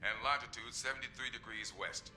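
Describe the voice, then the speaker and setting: A man's voice: medium pitch, brisk, natural voice, low-quality recording. An actor playing a deferential, matter-of-fact male henchman in a video game, with music behind him. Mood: businesslike. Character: businesslike male henchman reporting to his boss. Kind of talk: video game cutscene acting.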